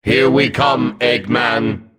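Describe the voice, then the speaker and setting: A man voicing a hulking combat robot in a video game, gruff and mechanical, giving clipped, vengeful commands. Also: mid-range voice, steady tone, slow, a bit bright. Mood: menacing, vengeful.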